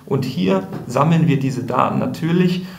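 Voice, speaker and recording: A man's voice: medium pitch, moderate pace, creaky, a little mellow, a little hiss or hum. A man speaking as the guest in a podcast interview, explaining things thoughtfully and restarting sentences often. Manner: thoughtful, many fillers and restarts. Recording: roomy echo.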